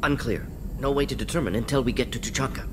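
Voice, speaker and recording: Alien scientist male actor: mid-pitched, hyperactive and brainy game character, telegraphic phrasing, clear rumbling noise behind. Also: fast talker, close to an everyday voice. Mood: urgent. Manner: clipped telegraphic fragments, dropped pronouns.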